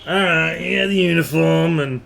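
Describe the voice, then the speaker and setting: A man doing live gameplay commentary as a streamer, excitable and joking, with casual swearing. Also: a little high, normal speed, vocal fry. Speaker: game streamer. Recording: live stream with faint game noise.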